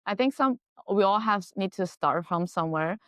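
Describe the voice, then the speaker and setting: A woman's voice: medium pitch, expressive, moderate pace, dry recording, clean studio sound. A woman from China, a native Chinese speaker, hosting an interview and asking curious questions in English with small grammar slips. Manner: curious, warm laughs, occasional grammar slips. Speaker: podcast host, interviewer. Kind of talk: interview questions, casual chat.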